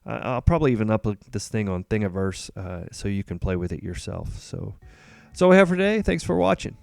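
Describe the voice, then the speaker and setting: A man hosting a casual screen-recorded coding tutorial, relaxed and rambling with frequent ums and uhs. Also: on the low side, a little fast, gravelly, no room sound, clean studio sound. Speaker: host.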